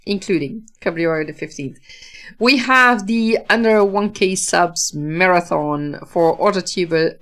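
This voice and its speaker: A Dutch woman with a Dutch accent, casually hosting a livestream, answering viewer comments in a thoughtful way, with many fillers and restarts. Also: fairly low pitch. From Netherlands. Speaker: livestream host, author.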